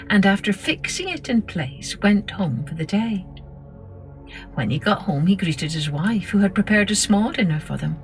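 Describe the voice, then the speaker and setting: Low-pitched woman telling a children's story. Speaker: storytelling podcast host. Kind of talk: scripted children's story narration.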